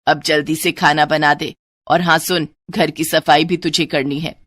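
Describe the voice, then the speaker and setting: A woman voicing a bossy, taunting middle-aged mother-in-law in a moral-story cartoon, with a sharp, nagging manner. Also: deep voice, level tone, unhurried, no room sound, voice pushed down.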